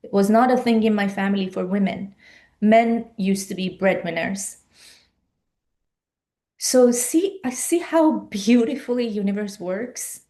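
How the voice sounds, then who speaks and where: A woman's voice: medium pitch, unhurried, dark tone. A woman, a coach giving a live online talk in an earnest, urging way full of rhetorical questions. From Iran. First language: Persian.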